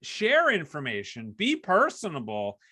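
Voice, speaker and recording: Male podcast host, higher-pitched and bright, explanatory conversational read, very dry close sound. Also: wildly swooping pitch, measured pace, breathy edge, no room sound. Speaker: podcast host, former broadcaster and athlete branding consultant. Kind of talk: conversational interview hosting.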